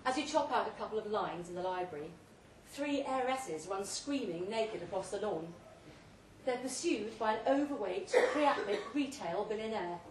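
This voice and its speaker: A woman reads a short story aloud at a live event, delivering it theatrically in measured, deliberate phrases. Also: medium pitch, lively intonation. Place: pub event room, read aloud live. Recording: roomy, distant live recording with faint noise.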